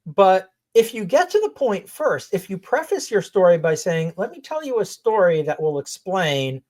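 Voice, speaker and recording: A woman's voice: medium pitch, very animated, normal speed, a little mellow. A woman coach who grew up in Israel, talking as a guest on a podcast in an earnest, thoughtful way and laughing often. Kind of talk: reflective interview talk.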